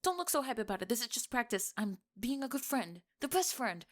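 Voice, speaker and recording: A woman playing a bossy, secretly smitten young tomboy best friend in an intimate ASMR roleplay, teasing and defensive, with halting, stammering delivery. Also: fairly high pitch, sing-song. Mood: defensive, bashful.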